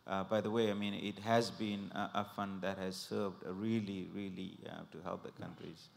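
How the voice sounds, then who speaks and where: A man in the audience asking a long question to the panel, halting and full of fillers as he searches for words. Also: low voice, fairly even intonation, creaky, a little hiss or hum. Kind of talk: question from the floor. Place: conference hall. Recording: long reverb in a hall.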